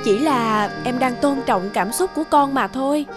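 A high female voice from an actress plays a gentle, understanding mother in a cartoon. She reasons earnestly and persuasively. Background music runs beneath her. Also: moderate pace, lightly acted. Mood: gently persuasive. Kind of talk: animated moral-story dubbing.

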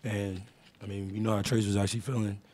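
A young man, a college basketball player answering at a postgame press conference into a table mic, halting and repeating his words. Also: lowish voice, little pitch movement, darkish tone. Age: young adult. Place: press room in front of a sponsor backdrop.

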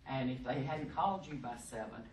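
A middle-aged woman at a podium, talking loud and emphatic in a homespun way. The sound carries across an echoey room from a mic some distance away. A faint hiss sits under it. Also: rumbling low, expressive, brisk. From Texas. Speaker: presenter at a workshop. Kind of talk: informal training talk. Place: conference room. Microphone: podium microphone, heard from across the room.

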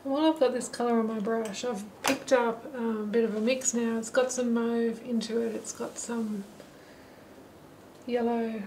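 A woman with a slightly high, gravelly voice explains her watercolour steps as she paints, relaxed and unhurried. Her delivery stays quite even in pitch. A faint hum of noise sits under the camera-mic recording. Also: rough recording. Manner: relaxed, thinking aloud while painting. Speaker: watercolour teacher. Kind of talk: painting tutorial narration. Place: holiday apartment, at a table indoors. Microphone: camera mic above the table.

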